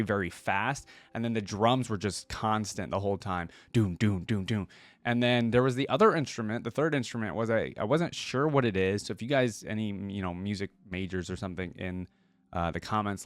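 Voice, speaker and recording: A man's voice: fairly low pitch, dry recording. A man hosting a reaction video, talking informally to viewers and thinking aloud as he comments. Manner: informal, thinking aloud, many likes and you-knows.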